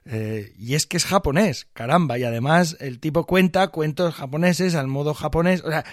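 A man co-hosting a podcast, reasoning aloud in a casual, animated discussion. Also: fairly high pitch, moderate pace, no echo, studio quality. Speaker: podcast co-host.

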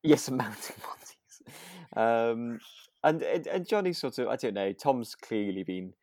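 Male podcast co-host, mid-pitched, casual and tentative, often rewording himself, dry close recording. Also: expressive, a little slow, darkish tone, no echo. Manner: hesitant, repeats and rephrases himself. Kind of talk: relaxed casual chat about a radio drama.

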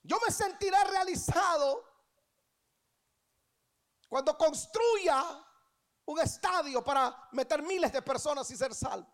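A woman preacher in her thirties with a Guatemalan accent and an extremely high pitch. She delivers a sermon with emphasis, repeating her key phrases and posing rhetorical questions to the congregation. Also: animated, slow, pauses often. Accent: Guatemalan Spanish. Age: 30s. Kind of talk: church sermon.

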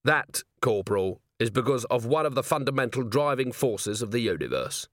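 A man voicing a brisk, gruffly authoritative police commander in an audiobook, commanding and dryly wry. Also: mid-range voice, lively intonation, fairly bright tone, no room sound, clean studio sound, natural voice. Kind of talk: audiobook character voice.

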